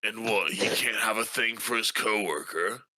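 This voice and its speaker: Bright-voiced man improvising at the game table. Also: on the low side, moderate pace, slight background noise. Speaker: player in a tabletop role-playing game. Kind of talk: improvised in-character dialogue, conversational.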